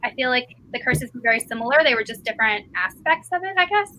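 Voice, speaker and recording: Bright high-voiced woman hosting a book chat. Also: expressive, fast talker, slight background noise, poor audio. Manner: leads the group with questions. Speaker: host of a live book discussion. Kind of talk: casual book-club chat.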